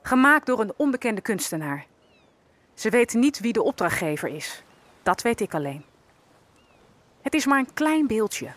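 A high-pitched female voice in a historical radio play, as an enslaved survivor looking back on her life. She is wry and knowing, and tells it slowly and deliberately. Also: sing-song, fairly bright tone. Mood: quiet, solemn. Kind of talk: dramatised first-person narration in an audio drama.

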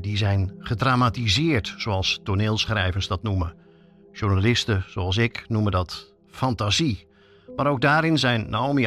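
A man's voice: medium pitch, a bit bright. A man hosting a podcast as a journalist, reading scripted narration that explains things directly to listeners.

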